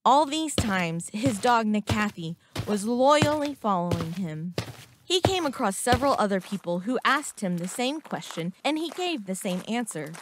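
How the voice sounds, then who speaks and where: A woman reading a scripted moral story for children in a deliberate, expressive storytelling manner. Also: medium pitch, noisy background. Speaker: narrator.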